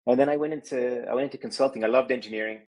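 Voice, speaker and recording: A man from Kenya, a podcast guest, telling his life story in a thoughtful, meandering way, often pausing on "you know". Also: mid-range voice, steady tone, a little mellow. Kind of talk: reflective conversational storytelling. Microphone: video call.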